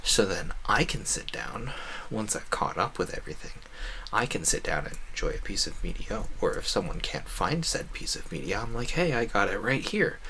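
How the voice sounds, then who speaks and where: Low-pitched man rambling casually on stream. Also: animated, normal speed, sharp tone, a little hiss or hum, poor audio. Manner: talkative, musing at length. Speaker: video game livestreamer. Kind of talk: casual rambling livestream chat over gameplay.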